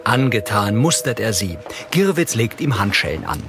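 A man's voice: fairly low pitch, sing-song, normal speed, over music. A man reading scripted audio description narration in a neutral, matter-of-fact way. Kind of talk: scripted present-tense narration.

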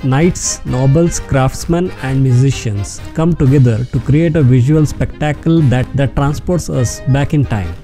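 A man's voice: mid-range voice, measured pace, few pauses, over music. A man delivering scripted narration as the narrator of a travel vlog.

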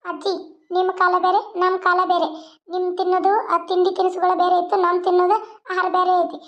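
A defiant young wife with a North Karnataka accent, acted by a woman, pushing back in a whiny tone. Her voice is very high and her words come quickly. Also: completely flat delivery, a bit bright. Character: stubborn young wife refusing children.

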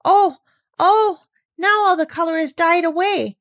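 A woman voicing an eager, warm-hearted little girl with bright, breathless exclaiming. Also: very high voice, unhurried, no echo, clean studio sound, pitched above the performer's own voice. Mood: awestruck.

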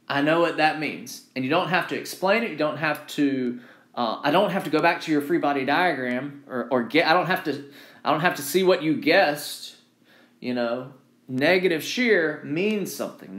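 A man's voice: fairly high pitch, fairly bright tone. A man giving an informal engineering lecture, repeating key phrases for emphasis and often checking in with "right?". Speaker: engineering instructor. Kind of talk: informal lecture with handwritten notes.

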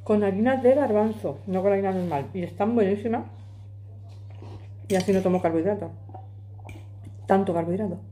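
Chatty woman with a dark, mellow voice. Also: mid-range voice, a little fast, pauses often. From Madrid, Spain. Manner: chatty, emphatic, talks with her hands. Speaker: vlogger. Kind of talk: casual chat to camera while eating. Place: home kitchen, indoors. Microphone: phone camera on the table.

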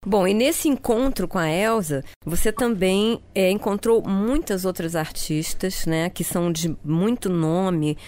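A woman's voice: medium pitch, a little mellow. A woman hosting a radio podcast interview, friendly and affirming, asking leading questions.

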